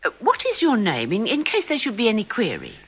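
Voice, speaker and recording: An eager, slightly nervous female accomplice in a radio play. Her high voice has a breathy husk and swoops widely, with a polite, hesitant, chatty manner. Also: wildly swooping pitch, brisk, fairly bright tone, heavily acted. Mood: bluffing, businesslike. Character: eager, slightly nervous woman accomplice bluffing as office clerk. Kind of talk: radio drama acting.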